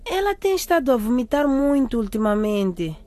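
A woman's voice: on the high side, very animated, normal speed, warm tone, dry recording. An actress playing a chatty teenage schoolgirl who spreads gossip, speaking in an eager manner. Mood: hesitant. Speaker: student. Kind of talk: radio drama acting.

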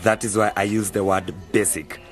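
An actor playing a young university student who patiently explains things in a measured way in an educational radio drama. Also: male voice, fairly low pitch, flat delivery, faint music behind, close to an everyday voice. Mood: patient, instructive. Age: young adult. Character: calm, knowledgeable male university student mentor. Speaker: university journalism student mentoring novices.

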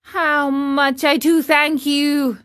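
A woman voicing a small, frightened wooden puppet boy in a storybook reading, with a high, pleading voice. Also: very animated, a little slow, a bit bright, exaggerated voice. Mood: earnest.

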